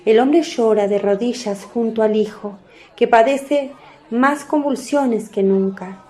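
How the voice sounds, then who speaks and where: Female narrator for biblical radio play, unhurried, soft mellow tone, slightly high register. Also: some chatter behind. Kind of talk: scripted dramatic narration.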